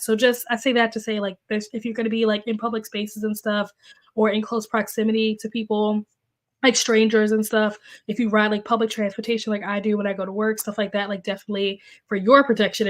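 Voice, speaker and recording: A woman's voice: mid-range voice, little pitch movement, fairly quick. A young woman hosting a casual livestream, chatty and full of fillers, sounding congested and a little coughy as she recovers from being sick. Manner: chatty, filler-heavy, reacts to comments. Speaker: livestream host. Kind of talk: casual livestream chat, reading viewer comments. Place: at home. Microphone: desk microphone.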